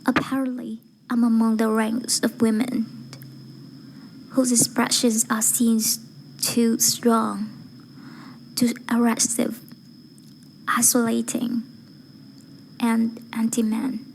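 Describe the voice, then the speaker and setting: A woman with a breathy, whispery voice reciting a formal speech in a measured, deliberate way, phrase by phrase. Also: on the high side, completely flat delivery, a little hiss or hum, low-quality recording. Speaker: amateur voice-acting student reciting a speech.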